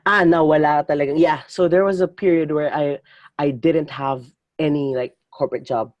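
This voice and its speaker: A man in his twenties from the Philippines, a podcast guest telling his story in lively casual talk full of fillers and restarts. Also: fairly high pitch, normal speed, no echo, highs cut off. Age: 20s. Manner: energetic, many fillers and restarts, laughs often. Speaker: podcast guest, digital marketer. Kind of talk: casual interview chat.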